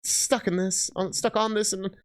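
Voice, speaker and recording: A man's voice: high voice, level tone, moderate pace, natural voice. A man voicing a caring but disappointed woman paladin in a fantasy story, earnest, firm and a little hesitant. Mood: troubled.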